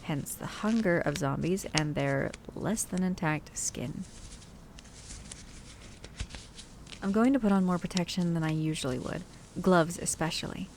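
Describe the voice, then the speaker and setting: A low-pitched female survivor of the zombie apocalypse, acted by a woman in an ASMR roleplay. She is cautious and caring, rambling conversationally to her companion with hesitant asides. A faint rumble sits behind the voice. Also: a little mellow. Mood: cautious, instructive. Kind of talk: ASMR roleplay audio drama, addressing the listener.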